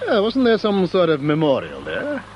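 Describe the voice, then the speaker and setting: A man's voice: sits high, wildly swooping pitch, normal speed, highs cut off, a little hiss or hum. An actor playing a genteel, curious British townsman in a radio drama, polite, hesitant and drily witty. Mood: mildly curious.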